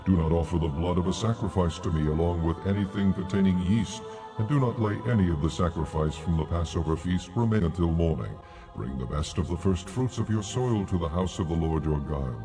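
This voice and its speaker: An actor playing God as a solemn, stern lawgiver in a dramatized audio Bible, speaking gravely and with deliberate authority. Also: male voice, low-pitched, over music, close to an everyday voice. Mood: stern, commanding.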